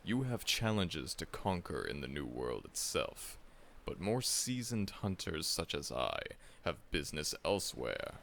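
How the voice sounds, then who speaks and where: A man's voice: a bass voice, very animated, unhurried, vocal fry, fairly bright tone, a little hiss or hum, heavily acted. A man voicing a seasoned, friendly veteran monster hunter in an audio roleplay, chuckling often and teasing the listener.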